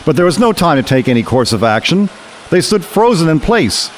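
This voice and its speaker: A man's voice: a little high, sing-song, a little fast, slight background noise. A man narrating a scripted radio drama as storyteller.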